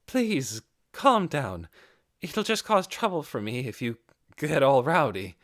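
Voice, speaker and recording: Guy putting on a young female lizardfolk chief, higher voice, measured n polite, bit cynical, fantasy audiobook. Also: sing-song, fairly bright tone, dry recording, clean studio sound, full character voice. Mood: awkward, calming.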